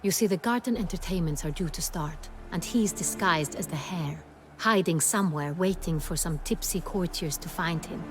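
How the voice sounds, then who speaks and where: Actress as a low-voiced, imperious young duchess. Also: female voice, moderate pace, lightly acted. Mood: firm, commanding. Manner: formal, crisp, authoritative. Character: commanding young-adult duchess, regal, poised, imperious. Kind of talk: video game cutscene acting. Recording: music behind the voice.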